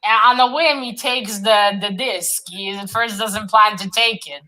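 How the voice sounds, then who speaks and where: A woman's voice: medium pitch, unhurried, bright, forceful tone. A woman talking casually and informally as she retells a story in a book discussion.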